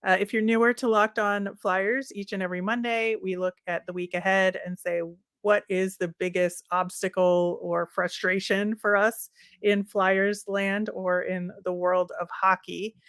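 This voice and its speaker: A woman's voice: mid-range voice, level tone, unhurried, fairly bright tone, no room sound, studio quality. A woman co-hosting a sports podcast, talking casually and conversationally, often hedging with little asides.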